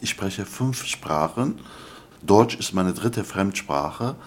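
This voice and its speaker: An older man, a scholar answering interview questions in an earnest, lecturing way, often halting with fillers. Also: low-pitched, lively intonation. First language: Arabic. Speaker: guest scholar.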